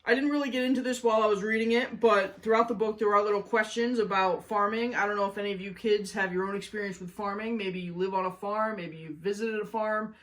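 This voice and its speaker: A woman's voice: mid-range voice, steady tone, fast talker, hardly any pauses, low-quality recording. A woman hosting a children's story-time show, talking to kids in a playful, enthusiastic way. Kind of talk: children's book reading and review.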